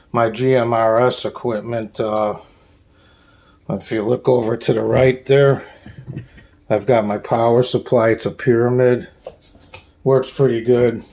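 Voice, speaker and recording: A man hosting a casual off-the-cuff hobby tour at home, talking in a halting, plain-spoken, rambling way. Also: mid-range voice, steady tone, darkish tone. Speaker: hobbyist host giving a radio gear tour. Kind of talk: casual off-the-cuff show-and-tell vlog. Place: small room at home.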